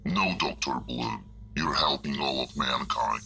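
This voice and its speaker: Man acting extremely deep, gravelly shadowy conspirator. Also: bright, forceful tone, a little hiss or hum, heavily acted. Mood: calm, persuasive. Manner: measured, formal. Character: shadowy, calm, persuasive male conspirator. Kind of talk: amateur audio drama acting.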